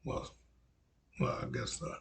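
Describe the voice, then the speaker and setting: A man in his forties with a raspy voice, a religious teacher giving a rambling monologue that repeats itself and is filled with "you know.". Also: unhurried, fairly bright tone, a little hiss or hum. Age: 40s. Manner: repetitive, frequent "you know" fillers, lecturing.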